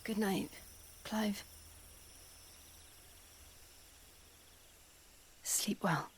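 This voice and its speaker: A woman acting a gentle, war-weary young warrior in a video game, soft and hushed. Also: medium pitch, slow pace, a little hiss or hum, close to an everyday voice. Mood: tender.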